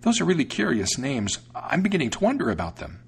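A small, trusting female mouse from a storybook, played by a man. The voice is lifted high and husky, swooping with emphatic, inquisitive wonder. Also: wildly swooping pitch, fast, heavily acted. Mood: astonished, suspicious. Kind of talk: storybook character voice.

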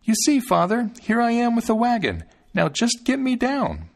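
Guy doing a teeny little storybook boy, way high voice, cheeky n eager, sure of himself. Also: wildly swooping pitch, normal speed, gravelly, heavily acted. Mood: proud, excited. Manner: chirpy, exclamatory, insistent. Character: tiny, clever, confident thumb-sized boy, eager and cheeky.

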